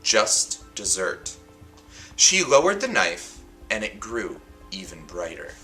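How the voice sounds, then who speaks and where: A man hosts a storybook read-aloud in a lowish, very animated voice. He phrases each line dramatically and deliberately, leaving emphatic pauses. Faint music plays behind him. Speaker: host reading a picture book aloud and setting a writing challenge.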